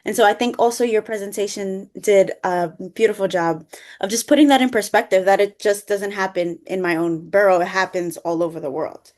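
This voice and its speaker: A woman's voice: medium pitch, steady tone, a little mellow. A woman from the Bronx moderating an online conference panel, talking passionately with many fillers. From the Bronx, New York. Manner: passionate, quick, lots of "like" fillers.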